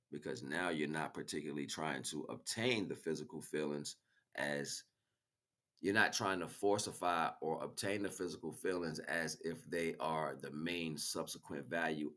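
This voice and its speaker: A man's voice: extremely low pitch, a bit bright. A man giving an impromptu spiritual monologue as a teacher, speaking directly to the listener and repeating phrases for emphasis.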